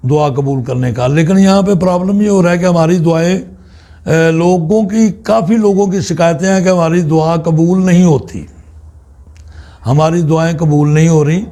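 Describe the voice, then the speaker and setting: A man giving an informal, unscripted religious talk in a halting, deliberate way, often pausing mid-sentence. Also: on the high side, mellow. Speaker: Islamic spiritual speaker.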